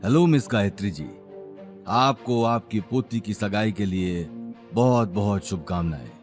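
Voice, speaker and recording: A man's voice: on the low side, measured pace, faint music behind, lightly acted. A man playing a loyal steward of a wealthy family in an audio drama, formal and deferential. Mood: courteous, formal. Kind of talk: Hindi audio drama acting.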